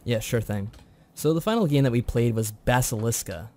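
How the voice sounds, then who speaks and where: A man's voice: medium pitch, animated, moderate pace, warm tone. A man co-hosting a review show, recapping a story in a chatty, informal way. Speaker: co-host of a visual novel review show.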